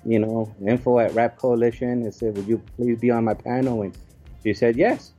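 Chill mellow guy being interviewed as an organizer, casual, kinda halting, faint music behind. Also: mid-range voice, flat delivery. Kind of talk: casual explanatory interview talk.

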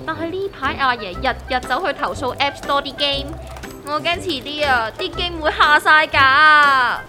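A woman playing a high, bright, whiny young worker. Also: normal speed, faint music behind. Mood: resigned griping. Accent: Hong Kong Cantonese. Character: gloomy, aggrieved young woman worker griping about her strict grandpa. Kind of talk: comedic audio drama acting.

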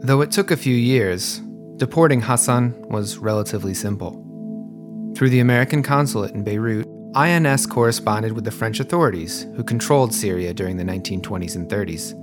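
A man's voice: fairly low pitch, fairly quick, over music. Man narrating a history podcast. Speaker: podcast host. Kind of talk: scripted narration.

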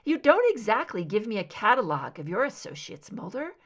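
A woman's voice: mid-range voice, big pitch swings, fairly quick, fairly bright tone. A woman reading a weary, proud female agent in an audiobook, clipped, guarded and defensive. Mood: irritated, defensive. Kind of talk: dramatic audiobook character dialogue.

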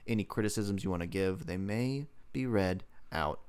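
A man's voice: low voice, moderate pace, a little mellow, clear, resonant tone. A man co-hosting a casual podcast, joking and teasing as he rambles into asides about pop culture. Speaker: podcast co-host.

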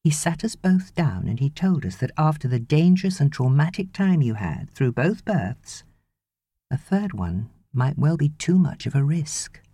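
A woman's voice: low voice, lively intonation, a little slow, mellow, breathy edge, dry recording, voice pushed up, heavily acted. A woman voicing an earnest, loving husband and father in an audiobook, pleading and persuading emphatically. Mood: exasperated concern.

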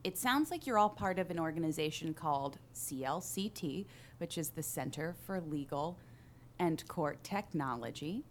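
A woman's voice: low voice, expressive, normal speed, slight background noise. A woman hosting a podcast interview, asking questions in an upbeat, inviting way. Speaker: podcast host.

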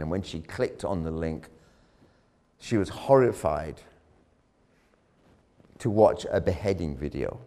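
A man preaches with a dramatic storytelling delivery, swelling louder on key phrases before sinking back down. His voice sits low and he takes his time, letting words hang. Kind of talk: sermon storytelling.

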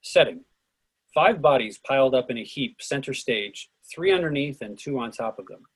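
A man with a husky voice reading stage directions and cues as scripted narration for a play. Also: medium pitch.

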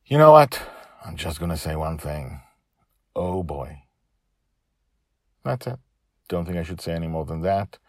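Low-voiced man with animated storytelling delivery. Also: measured pace, long pauses. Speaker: author and podcast narrator. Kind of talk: scripted story narration and informal podcast talk.

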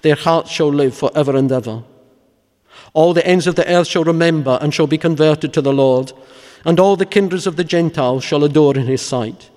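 A man solemnly reciting psalms, litanies and prayers in a measured, reverent, formal way as a prayer leader. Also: a little high, a little mellow. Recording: long echoing church-like reverb.

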